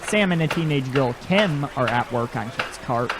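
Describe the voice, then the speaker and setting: A man's voice: mid-range voice, animated, normal speed, background noise. A man reading scripted narration for an audio drama as its narrator.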